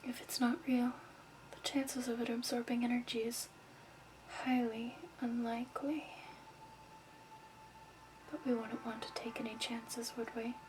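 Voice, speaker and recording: A woman whispering softly and breathily in a gentle, measured ASMR roleplay as a shopkeeper. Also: a little high, level tone, background noise. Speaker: ASMR roleplay host as crystal shop keeper.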